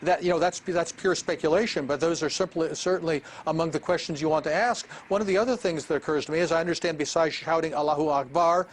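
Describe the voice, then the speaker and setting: Ex-diplomat guy on a remote link, slow and careful, a bit of background hiss. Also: a little high. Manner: measured, deliberate, with frequent restarts. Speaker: former diplomat, guest analyst. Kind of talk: live remote interview commentary. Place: remote studio.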